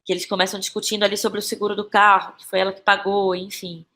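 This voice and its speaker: A Brazilian woman talks as a guest in a podcast conversation, her voice at an average pitch. She is reflective and halting, often circling back over a phrase as she thinks it through. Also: level tone, fairly bright tone. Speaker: interview guest, playwright and actress.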